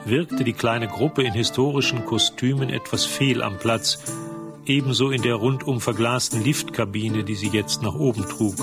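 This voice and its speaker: A man acting as a measured storyteller, reading scripted narration for a radio play. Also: medium pitch, fairly even intonation, over music, close to an everyday voice.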